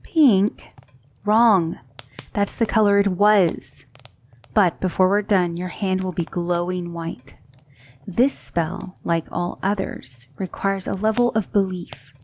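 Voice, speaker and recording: A woman playing a gentle, patient demon mentor in an ASMR roleplay, coaxing her student with soft, deliberate instruction that often drops toward a whisper. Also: medium pitch, fairly even intonation, a little hiss or hum. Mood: firm, encouraging. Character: gentle female demon mentor teaching magic, patient, reassuring.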